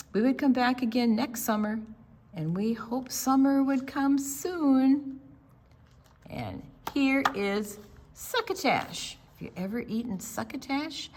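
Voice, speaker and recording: A woman's voice: medium pitch, a bit bright. An older woman reading a picture book aloud to children at a library storytime.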